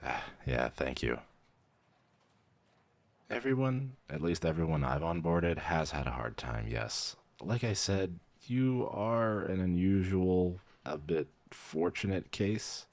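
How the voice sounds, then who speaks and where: Guy acting a friendly tired vampire mentor, super low voice, swoops around a lot, dry office-chat roleplay, bit hesitant. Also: very animated, slow pace, darkish tone, full character voice. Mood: wistful, then curious, matter-of-fact. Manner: hesitant, conversational, dryly professional turning casual. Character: weary, friendly young vampire mentor, male onboarding clerk. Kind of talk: roleplay audio, conversational acting.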